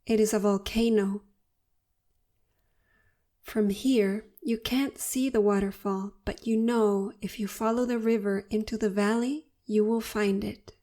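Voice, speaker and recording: Slow, mellow woman guiding a meditation. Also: mid-range voice, fairly even intonation. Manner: soothing, unhurried, deliberate phrasing. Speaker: meditation guide. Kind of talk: guided meditation narration.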